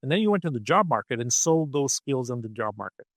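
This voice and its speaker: Animated man explaining ideas, dry sound. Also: medium pitch, quick pace, dry recording. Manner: punctuates points with "okay?" and "right?". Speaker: podcast guest.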